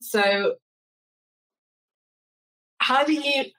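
A woman's voice: high-pitched, drawn-out words, bright, forceful tone, rough recording. A woman hosting a food podcast, chatty and friendly, asking questions with short eager agreements. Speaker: podcast host. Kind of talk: casual interview.

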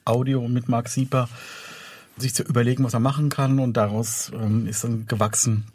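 A man's voice: medium pitch, level tone, moderate pace, a little mellow, slightly rough, no echo. A man being interviewed as an audio drama producer and composer, talking in a casual, conversational way.

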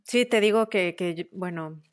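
A woman's voice: lowish voice, moderate pace, no echo, clean studio sound. A woman, chatting candidly as an interviewer, agreeable and a little hesitant in how she phrases things. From Mexico or Italy. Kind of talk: casual, candid interview chat.

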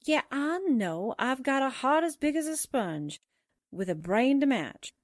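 A woman's voice: sits high, sing-song, measured pace, no echo, clean studio sound. A woman playing a sardonic, reluctant sidekick to a newspaperwoman, with wry 1940s radio drama delivery. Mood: wry, resigned.

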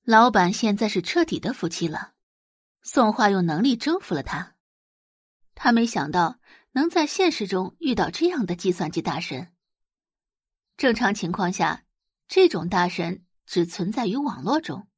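A woman's voice: medium pitch, sing-song, moderate pace, husky, no echo, studio quality. A woman reading scripted, dramatic story narration for an audio drama.

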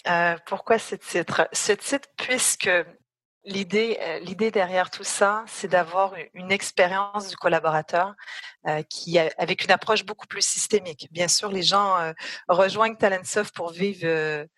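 A woman's voice: lowish voice, steady tone, measured pace, a bit bright, no room sound. A middle-aged woman with a French-Canadian accent, an HR executive answering interview questions thoughtfully with frequent hesitations.

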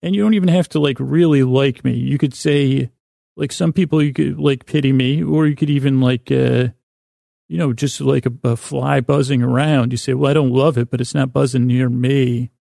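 A man hosting a bedtime podcast with a creaky voice, rambling in a halting, self-deprecating way that wanders and breaks off mid-word. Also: medium pitch, steady tone, warm tone, no room sound, clean studio sound. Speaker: podcast host. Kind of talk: rambling bedtime monologue to help listeners sleep.

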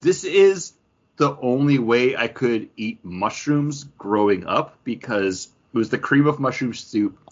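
A man's voice: medium pitch, lively intonation, measured pace. A man hosting a podcast in a casual, conversational style. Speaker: podcast host. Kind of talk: casual chat, ranking foods. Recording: dull, muffled audio.

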